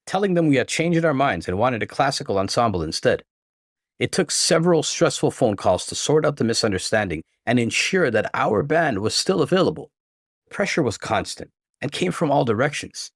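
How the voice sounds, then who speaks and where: A man's voice: mid-range voice, dry recording, studio quality. A man reading a scripted first-person relationship story as a narrator. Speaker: narrator reading online stories.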